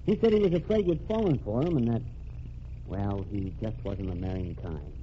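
Guy doing a cool tough ex-reporter, lively swingy delivery, muffled old radio hiss. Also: on the low side, normal speed, close to an everyday voice. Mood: explaining. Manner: cool, wisecracking, menacing. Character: cocky undercover ex-reporter man, cool tough. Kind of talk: 1940s radio acting.

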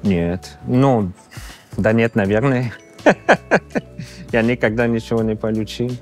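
A man in his thirties from Canada with a Canadian accent, speaking a learned second language with pauses and fillers in an interview. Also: mid-range voice, lively intonation, faint music behind. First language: not Russian. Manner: halting, searching for words with many fillers. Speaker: interview guest. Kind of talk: casual interview answers. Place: exhibition hall indoors. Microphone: lapel.

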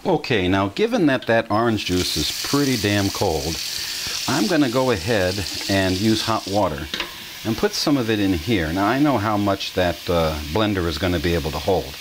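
A man's voice: on the low side, sing-song, normal speed, background noise. A middle-aged man hosting a casual kitchen demonstration, explaining each step in a folksy way with fillers, filmed in a home kitchen on a camera microphone. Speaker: channel host.

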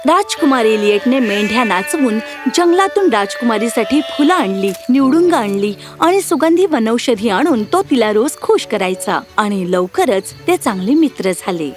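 A woman's voice: fairly high pitch, big pitch swings, normal speed, faint music behind. A woman narrating a scripted fairy tale as a storyteller.

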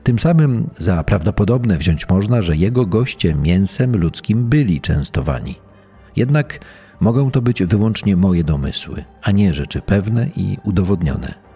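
A man playing a formal, pedantic official giving a measured statement in a dramatized crime documentary. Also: deep voice, expressive, dark tone, husky, faint music behind, full character voice. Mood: measured, emphatic. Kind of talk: dramatized reading of a historical statement.

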